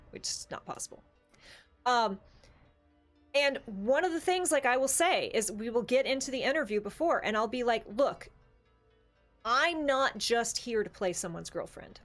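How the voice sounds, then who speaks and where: A woman's voice: fairly high pitch, fairly bright tone. A woman in a casual, opinionated discussion as a co-host, speaking emphatically and repeating phrases to drive points home. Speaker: podcast co-host.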